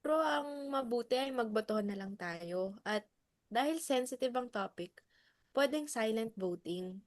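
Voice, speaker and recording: Woman acting hesitant Filipino peacemaker, conciliatory, dramatic reading, slightly high pitch, dry recording. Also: animated, measured pace, dry recording. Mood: matter-of-fact.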